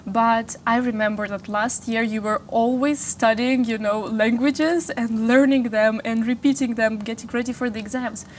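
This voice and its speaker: A woman's voice: on the high side, fairly even intonation, moderate pace, few pauses, slight background noise. A woman in her twenties hosting a studio interview, upbeat and chatty, asking long, winding questions. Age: 20s. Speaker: interview host. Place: small studio. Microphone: lapel.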